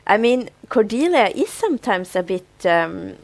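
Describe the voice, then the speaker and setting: A woman's voice: medium pitch, very animated, moderate pace, vocal fry, no room sound. A woman co-hosting a podcast in casual conversation, often hesitating as she searches for words. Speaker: podcast co-host. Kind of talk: casual chat about a TV show.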